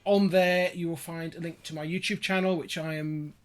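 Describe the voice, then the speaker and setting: A man's voice: fairly high pitch, little pitch movement, moderate pace, clean tone. A man speaking as a podcast guest in casual conversation, explaining things in detail. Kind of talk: casual chat.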